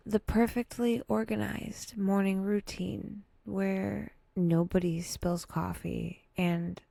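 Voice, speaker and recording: Soft breathy lady doing guided meditation, super slow, almost whispery, really dry close sound. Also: medium pitch, no echo. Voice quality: breathy, often dipping toward a whisper. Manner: gentle, unhurried, with long pauses and wry asides.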